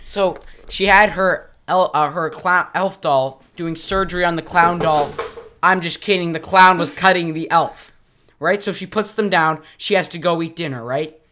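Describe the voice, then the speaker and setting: A male podcast co-host telling a goofy improvised story, silly and jumping between whispers and shouts. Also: fairly high pitch, normal speed, a little hiss or hum.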